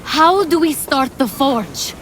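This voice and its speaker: A woman's voice: high voice, lively intonation, slow pace, noisy background. A woman voicing a wry, eager game hero. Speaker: game protagonist. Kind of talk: video game dialogue. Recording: game audio with music underneath.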